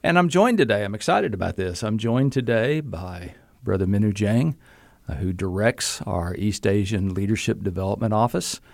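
A man's voice: fairly low pitch, lively intonation, normal speed, vocal fry, a little mellow, no echo, studio quality. A man hosting a podcast interview as a seminary professor, talking in a friendly, easygoing way and often restarting his phrases.

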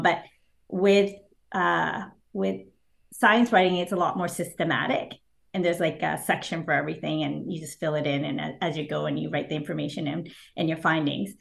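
A woman whose first language is Japanese, speaking as an author guest in an interview, thoughtful and hesitant with fillers and soft laughs. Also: fairly low pitch, vocal fry. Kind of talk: casual interview answers.

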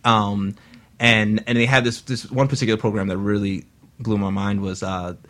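Man, lowish voice, chatty storyteller on talk radio. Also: normal speed. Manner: storytelling, animated retelling. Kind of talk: casual radio talk show discussion.

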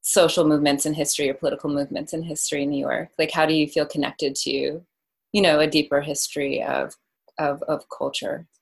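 Interviewer: American woman, deep-pitched for a female voice, informal, tentative, feels out each question aloud. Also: flat delivery, fairly quick, gravelly, husky. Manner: tentative, rephrasing questions as she goes. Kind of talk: casual, conversational interviewing.

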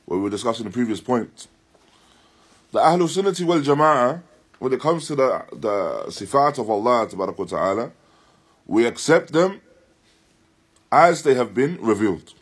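A man with a slightly husky voice teaching an Islamic lesson in deliberate, halting phrases, restating points with emphasis and reciting Arabic. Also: medium pitch. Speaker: religious teacher giving a lesson. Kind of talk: Islamic lecture explaining a text, with Arabic recitation. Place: live-streamed lesson at an Islamic centre.

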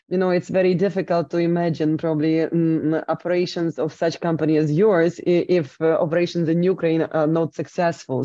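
A woman interviewer with a dark, mellow tone asks long, winding questions and keeps qualifying what she says. The recording is dry and slightly dull, with no room sound. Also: sits low, no room sound. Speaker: interview host.

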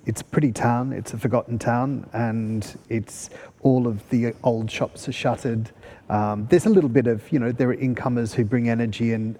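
A mellow-voiced Australian man hosts a live panel in a reverberant hall. His pitch is mid-range and his phrasing is bookish and deliberate. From country New South Wales, Australia. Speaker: panel host. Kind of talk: moderating a live discussion. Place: festival venue hall.

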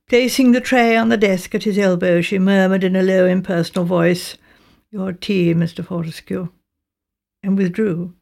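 A woman with a Received Pronunciation British accent, reading a mystery novel as scripted narration in a measured, precise way. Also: mid-range voice, vocal fry, a little mellow. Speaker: audiobook narrator.

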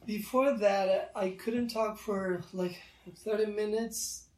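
Slow, halting man in a roomy space. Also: sits high, steady tone, a little mellow, recorded from a distance. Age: 30s. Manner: halting, many restarts, earnest. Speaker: guest artist. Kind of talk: reflective interview talk.

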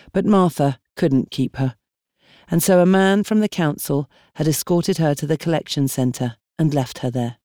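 A woman reading a novel as an audiobook narrator, in measured, expressive storytelling. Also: deep voice, no room sound, clean studio sound. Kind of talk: scripted literary narration. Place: dry studio.

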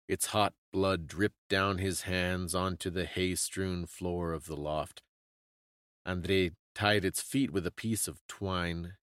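A man with a very deep voice reads a dramatic story as a solemn, suspenseful storyteller. He goes slowly, with deliberate phrasing. The sound is close and dry, with faint music underneath. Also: a bit bright, dry recording. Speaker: storyteller and podcast host. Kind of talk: dramatic scripted story reading.

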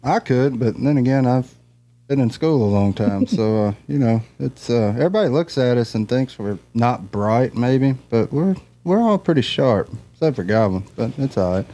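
A man's voice: medium pitch, animated, moderate pace, mellow. A man, a guest cracking jokes in a laid-back way. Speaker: reality show cast member, guest. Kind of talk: casual interview chat.

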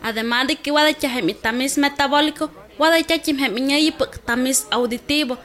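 A woman's voice: high-pitched, moderate pace, a bit bright. A woman playing a doctor who explains things to a new mother in a health radio drama. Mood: reassuring, instructive.

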